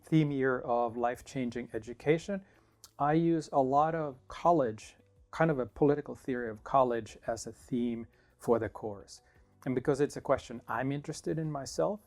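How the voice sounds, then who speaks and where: A middle-aged man from Finland, a professor answering interview questions in a thoughtful, measured way with small restarts. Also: medium pitch, a little mellow. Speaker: political science professor.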